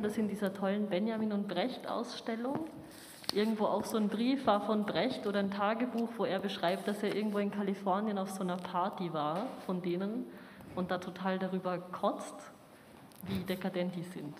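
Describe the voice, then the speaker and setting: A woman's voice: medium pitch, a little mellow. A woman from the audience making a long comment, rambling and hedging with fillers. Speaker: audience member. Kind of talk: discussion comment. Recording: roomy hall reverb, faint noise.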